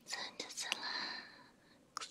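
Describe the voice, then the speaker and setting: A woman doing a whispered ASMR roleplay, soothing and gently reassuring, close on a binaural microphone in short hushed phrases. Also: very slow. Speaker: VTuber ASMR host.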